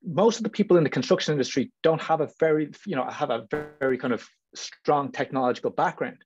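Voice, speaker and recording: A man's voice: on the high side, fast talker, a little mellow, slight background noise. A man with an Irish accent talking informally and chattily as a panelist on a video call. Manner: talkative, hedging with frequent "you know what I mean". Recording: muffled, roomy video call audio.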